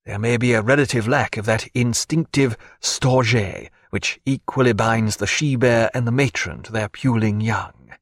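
A man voicing a guarded, formal physician who speaks in a measured, dry, precise way. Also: medium pitch, no echo, close to an everyday voice. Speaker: doctor.

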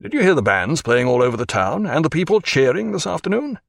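A man's voice: fairly high pitch, sing-song, fast talker, vocal fry, no room sound, studio quality, pitched above the performer's own voice, heavily acted. A man voicing a blunt, commanding, mischievous naval captain in an audiobook. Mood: gloomy, dogged.

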